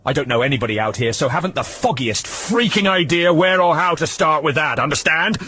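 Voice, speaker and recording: A man's voice: high-pitched, expressive, fairly quick, a bit bright, slight background noise, pitched above the performer's own voice. A man voicing a stern, impatient female elf who scolds in exasperated rants.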